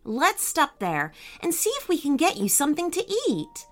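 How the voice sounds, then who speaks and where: A kind and spirited young girl from a children's story , put on by a woman. Her voice is high, bright and lively, full of determination. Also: moderate pace, soft background music. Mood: bright, hopeful.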